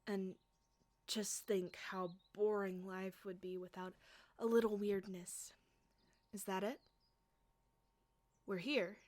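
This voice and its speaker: Soft-spoken teasing woman, slow and close. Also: medium pitch, fairly even intonation, no echo. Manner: playful, teasing, often whispering close to the mic. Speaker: voice actress performing an audio roleplay. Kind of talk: flirty ASMR roleplay.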